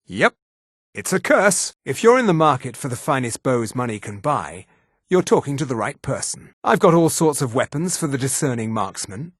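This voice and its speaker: An actor playing an arrogant, imperious male high elf in a fantasy video game, with a condescending, clipped manner. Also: very animated, normal speed, dry recording, clean studio sound. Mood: haughty, curt.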